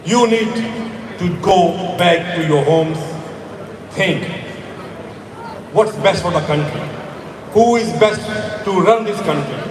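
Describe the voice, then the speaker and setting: Man from Afghanistan, middle-aged, fiery rally speech, high voice, echoey outdoor PA heard from a distance. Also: takes it slowly, pauses often, noisy background. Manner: emphatic, rising to shouts, repeats words for force. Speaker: rally speaker. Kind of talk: impassioned stage speech.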